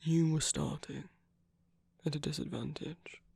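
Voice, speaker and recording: A man playing a gentle, wry villain who uses magic, speaking softly and haltingly in an intimate ASMR roleplay with a breathy, husky voice. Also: on the high side, fairly even intonation, no echo, exaggerated voice. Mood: gentle, reassuring. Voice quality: breathy, husky, slightly creaky. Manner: measured, halting, hushed delivery with pauses. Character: gentle, wry villain magic user comforting a loved one.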